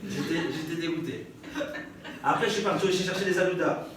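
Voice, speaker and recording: A young French-accented man tells stand-up stories, trading banter with the crowd and breaking into laughter. His voice sits a touch high and reaches the mic from a distance. A very echoey live room with clear audience noise surrounds him. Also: fairly quick, a bit bright. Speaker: stand-up comedian. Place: live comedy stage before an audience.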